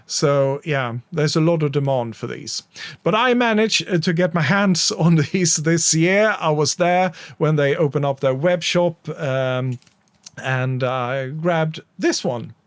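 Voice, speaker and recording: A man's voice: on the high side, takes it slowly, no room sound. A man from Sweden with a Swedish accent, chatting casually to camera about his record collection, rambling a little with frequent fillers.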